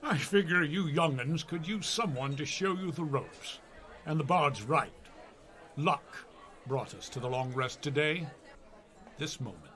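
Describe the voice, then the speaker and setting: A man's voice: on the high side, lively intonation, measured pace, bright, forceful tone. A man playing an old, seasoned dwarf fighter in a comedy radio play, plain-spoken and avuncular. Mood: steady, avuncular.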